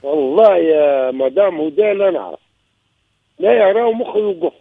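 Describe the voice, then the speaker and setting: A man's voice: a little high, animated, moderate pace, vocal fry, no room sound, phone-quality sound. A man in his sixties with a Tunisian accent, calling in and telling of trouble in his marriage, emphatic and repeating himself. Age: 60s. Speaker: caller. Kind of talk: recounting a personal marital problem.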